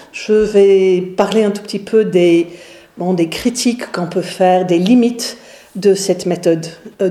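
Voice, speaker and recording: A woman's voice: mid-range voice, mellow, reverberant. A middle-aged woman pastor from England with an English accent, giving an informal talk with many hesitations and fillers. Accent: English, with some Swiss. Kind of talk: informal lecture to a group.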